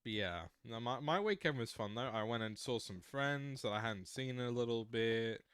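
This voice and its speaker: Smooth-voiced young Australian guy streaming. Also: mid-range voice, normal speed, fairly bright tone, no room sound. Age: mid-twenties. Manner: rambling, explains at length with restarts. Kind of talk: casual livestream chat while gaming.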